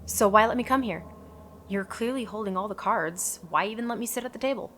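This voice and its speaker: A woman's voice: medium pitch, fairly quick, a bit bright, slight background noise, natural voice. A woman playing a principled, battle-hardened superhero in a radio drama, firm and defiant. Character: principled, tough female superhero, a battle-hardened war veteran.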